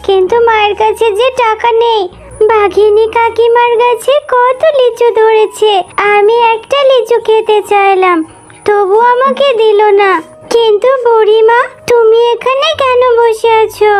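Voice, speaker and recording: A woman's voice: very high voice, fairly even intonation, a little slow, a little mellow. A woman voicing a sweet, eager young animal girl in a children's cartoon, earnest and pleading in a childlike way. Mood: sad, longing. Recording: music behind the voice.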